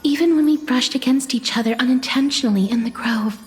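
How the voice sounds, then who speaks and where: An actress playing a gentle, sorrowful young woman in a game cutscene, with a soft breathy voice and a solemn manner. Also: sits high, close to an everyday voice. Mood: wistful regret. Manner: slow, solemn, melancholy. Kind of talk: scripted dramatic game cutscene monologue. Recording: reverberant hall, music underneath.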